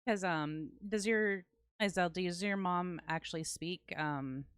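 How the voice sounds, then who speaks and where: A Midwestern woman with a lowish voice hosts a casual podcast chat, outspoken and blunt, with no holding back on swearing. Her voice is recorded close and dry, with almost no room sound. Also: measured pace, fairly bright tone, clear, resonant tone, no room sound. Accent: Midwestern American. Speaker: podcast host.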